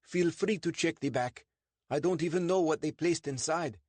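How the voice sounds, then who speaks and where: A man voicing a nervous, excitable truck owner who pleads and protests in a raised voice. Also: on the high side, moderate pace, no room sound, pitched above the performer's own voice, close to an everyday voice. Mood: pleading.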